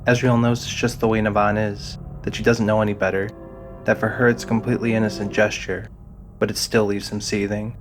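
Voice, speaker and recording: Guy narrating fantasy audio drama, lowish voice, pretty flat, music in the back. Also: fairly quick.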